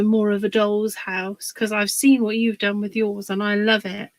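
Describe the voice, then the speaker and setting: A woman, slightly hoarse from a cold, chatting casually on a livestream while she works on a craft project, pausing with fillers. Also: medium pitch, dry recording, low-quality recording. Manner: chatty, rambling, pauses with fillers while working.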